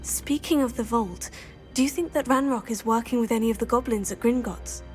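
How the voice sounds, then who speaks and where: A woman playing a higher-voiced, earnest student girl. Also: fairly quick, darkish tone, faint music behind, close to an everyday voice. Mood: curious. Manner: earnest, respectful. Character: polite, eager teenage female magic student. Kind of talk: video game voice acting.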